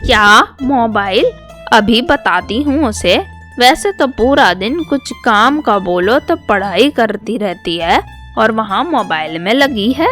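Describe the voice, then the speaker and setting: A woman's voice: fairly high pitch, lively intonation, slow pace, fairly bright tone, soft background music. A woman voicing a middle-aged mother-in-law, motherly, bossy and easily riled, in a storybook tale. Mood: irritated.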